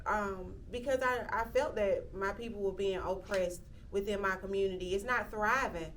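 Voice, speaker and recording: A woman, speaking reflectively in an interview, often pausing with "um" and restarting sentences. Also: mid-range voice, a bit bright, recorded from a distance, a little hiss or hum. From Athens, Georgia, US. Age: 30s. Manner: reflective, with frequent "um" fillers and restarts. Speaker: interviewee, local county commissioner. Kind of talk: casual interview talk.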